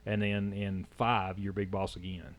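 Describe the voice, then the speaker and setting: A man's voice: lowish voice, monotone, unhurried, crowd noise. A man co-hosting a podcast, chatting casually in a rambling way with many restarts. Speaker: podcast co-host. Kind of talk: casual chat.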